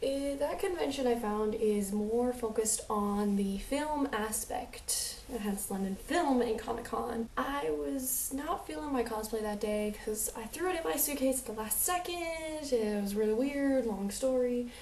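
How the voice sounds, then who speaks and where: Young American woman vlogger, hybrid accent, chatty and rambling, mellow higher voice, roomy echo, a bit distant from the mic. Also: unhurried, hardly any pauses, slight background noise. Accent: mixed American, New Jersey with a light Southern drawl. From United States. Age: young adult. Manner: chatty, rambling, many fillers and asides. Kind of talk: casual storytelling vlog.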